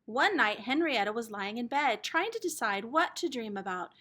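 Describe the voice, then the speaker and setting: Woman, high voice, animated storybook reading, expressive teacher delivery, dramatic. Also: moderate pace. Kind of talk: storybook read-aloud for children.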